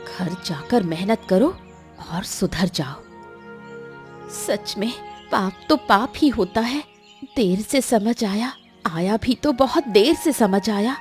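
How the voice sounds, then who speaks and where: Casting: female actor for firm, scolding housewife in a cartoon moral tale, unhurried delivery, faint music underneath. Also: medium pitch, animated. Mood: stern. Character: stern, reproachful woman of the household. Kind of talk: cartoon moral story dialogue.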